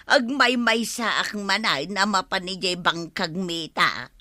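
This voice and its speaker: Bright, plaintive village woman. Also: medium pitch, slow pace, no room sound, clean studio sound. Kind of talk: Ilocano comedy radio drama acting.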